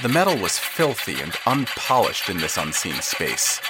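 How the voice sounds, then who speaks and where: A man's voice: low-pitched, wildly swooping pitch, moderate pace, heavy background noise. A man narrating scripted literary fiction in a dramatic, wry storytelling manner. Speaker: narrator of an audio fiction podcast.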